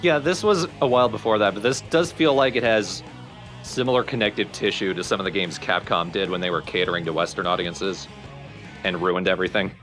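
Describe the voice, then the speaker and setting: Bright, forceful low-voiced man. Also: a little fast, faint music behind. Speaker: let's play co-host. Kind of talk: casual gaming commentary chat.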